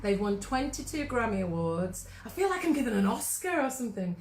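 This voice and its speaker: A woman's voice: on the high side, sing-song, a little fast, darkish tone, echoey room, slight background noise. An English woman hosting a singalong, chatting casually, laughing often and reading facts aloud. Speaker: singing teacher and host. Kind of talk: casual chat.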